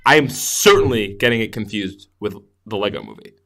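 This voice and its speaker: Guy podcast cohost, rambly and excited movie chat, keeps restarting his sentences. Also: on the low side, normal speed, soft background music. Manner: enthusiastic, rambling with repeated false starts. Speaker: podcast co-host. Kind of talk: casual movie review chat.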